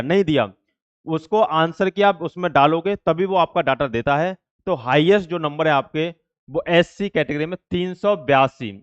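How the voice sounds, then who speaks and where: A man's voice: a little high, smooth, no room sound, highs cut off. A man explaining exam updates to students as a presenter, in an informal, repetitive way, reading out notices and figures. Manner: repetitive, filler-heavy explaining that addresses students directly. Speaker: exam-update presenter for an online coaching channel.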